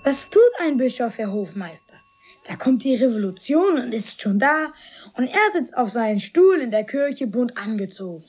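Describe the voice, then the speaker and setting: A woman's voice: a little high, lively intonation, moderate pace, darkish tone, slightly rough, faint music behind. A woman playing a cheeky, inquisitive young pupil in a radio drama, pert and teasing in manner. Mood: pert, questioning.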